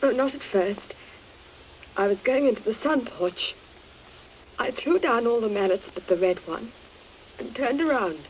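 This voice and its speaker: A woman's voice: fairly high pitch, animated, normal speed, pauses often, natural voice. An actress playing a fragile, distraught grown daughter in a radio drama, faltering and pleading as she answers. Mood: nervous, faltering. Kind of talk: old radio drama melodrama. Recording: tinny, noisy old radio recording.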